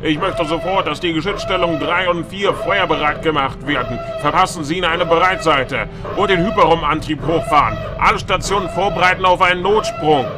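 An actor playing an older, stern and sceptical space admiral in an audio drama. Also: male voice, on the high side, a little fast, few pauses, music in the background, lightly acted. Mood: commanding.

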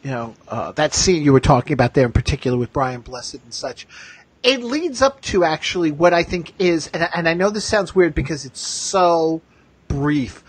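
Male podcast co-host, fairly high husky voice, enthusiastic fan chat, stop-start phrasing, dry close sound. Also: normal speed, dry recording. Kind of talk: casual pop-culture discussion.

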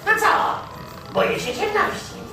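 A performer playing a whiny, timid creature afraid of the dark, complaining haltingly in a comic stage sketch. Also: close to an everyday voice. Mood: fearful, whining. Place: live convention stage. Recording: echoey hall, distant, loud music behind.

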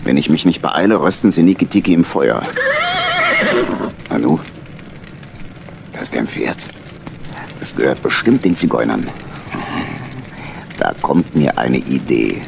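A man playing a fatherly, shrewd uncle badger with a husky voice and a protective manner in an audio play. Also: a bass voice, lively intonation, unhurried, pauses often, noisy background, pitched below the performer's own voice, exaggerated voice. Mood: urgent, scheming. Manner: protective, reassuring.

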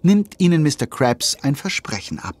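Ein Mann erzählt als Sprecher eines Kinderhörspiels nach Skript. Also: male voice, fairly high pitch, big pitch swings, normal speed. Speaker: narrator. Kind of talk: lively scripted children's audio drama narration.

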